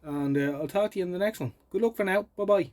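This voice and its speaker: An Irish man giving casual, informal commentary as he does hands-on repair work, muttering to himself in an unhurried way. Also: high voice, a little mellow. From Ireland. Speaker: presenter of a vintage radio repair channel.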